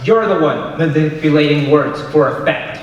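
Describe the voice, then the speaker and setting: A young man acting a proud, combative patriotic soldier in a high school stage play, with emphatic delivery. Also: normal speed, highs cut off, natural voice. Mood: indignant. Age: young adult. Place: large school auditorium stage. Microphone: far camera. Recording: distant, echoey auditorium with faint noise.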